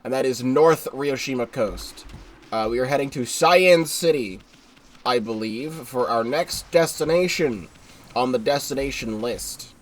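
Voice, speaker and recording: A man's voice: mid-range voice, unhurried. A congested young man giving rambling, casual commentary while streaming a game live, often correcting himself and swearing offhand.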